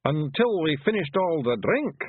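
A dim little Scottish pictsie voiced by a male reader chips in with artless cheer. The voice is pitched up and animated, a storybook sidekick on a narrow, phone-like recording. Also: a little slow, husky, dry recording, heavily acted. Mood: cheerfully helpful. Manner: artlessly helpful, blurting.

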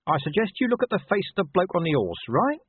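A man's voice: high voice, very animated, fast, slightly rough, no room sound, pitched above the performer's own voice, heavily acted. A man voicing a scruffy, cheeky little male dog who talks in a wheedling, indignant way. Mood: sly. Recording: tinny phone-like audio.

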